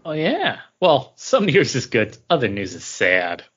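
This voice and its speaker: A man's voice: medium pitch, wildly swooping pitch, measured pace, a bit bright, husky. A man hosting a podcast in casual conversation, recorded with dull lo-fi sound. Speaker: podcast host. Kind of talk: casual chat.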